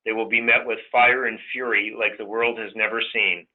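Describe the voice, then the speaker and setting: Phone-sounding guy, lowish voice, talking markets off the cuff, bit halting. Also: little pitch movement. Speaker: investment portfolio manager on a client call. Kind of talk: off-the-cuff financial market commentary. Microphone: telephone conference line. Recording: thin phone-like conference line.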